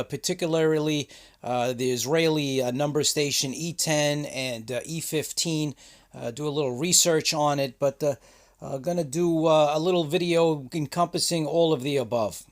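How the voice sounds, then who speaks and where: A man hosting a ham radio channel, explaining in a casual, halting way with many filler sounds. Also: on the high side.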